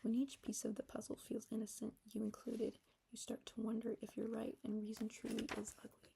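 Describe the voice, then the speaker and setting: A young adult woman with a breathy, whispery voice, reading her own reflective writing aloud to viewers in a subdued monotone. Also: fairly high pitch, brisk, noisy background. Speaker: vlogger.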